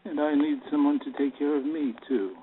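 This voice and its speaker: A man's voice: fairly high pitch, moderate pace, close to an everyday voice. Man playing a scheming banker, tinny noisy line. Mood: exasperated. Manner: persuasive, coaxing. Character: callous, pleading, scheming bank-manager husband. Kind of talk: radio-play style acting.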